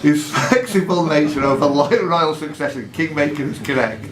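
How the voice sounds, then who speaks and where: A man hosting a live quiz in a pub, reading questions out deliberately and adding jokey asides. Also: fairly high pitch. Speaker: quizmaster. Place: indoor pub. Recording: echoey pub room with background noise.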